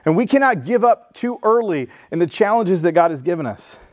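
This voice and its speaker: A man's voice: high-pitched, lively intonation, brisk. A man in his thirties, a pastor, preaching to his church in an earnest, conversational way, on a headset mic. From San Diego, USA. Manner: earnest, conversational preaching with restarts and rising emphasis. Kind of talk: sermon. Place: church stage with blue lighting.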